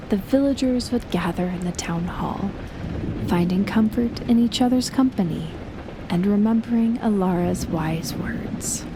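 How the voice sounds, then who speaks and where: A woman playing a gentle, shyly affectionate best friend in an intimate audio roleplay, speaking softly and hesitantly with a breathy, near-whispered voice. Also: mid-range voice, noisy background. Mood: soothing storytelling. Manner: soft, hesitant, slow with long pauses. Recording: loud rain and thunder throughout. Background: rain and thunder effects.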